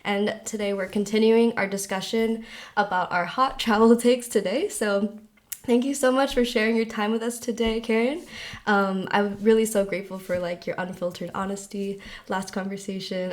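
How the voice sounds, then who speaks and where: A woman's voice: medium pitch, fairly even intonation, moderate pace. A woman hosting a podcast, talking in a friendly, chatty way and saying "like" often. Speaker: podcast host. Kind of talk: casual podcast chat.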